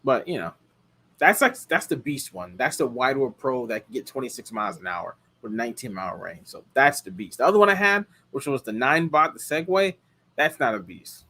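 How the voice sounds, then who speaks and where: Lively rambling American man. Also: mid-range voice, a little fast. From United States. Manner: rambling, many fillers and restarts. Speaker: car rental host answering viewer questions. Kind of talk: casual live chat.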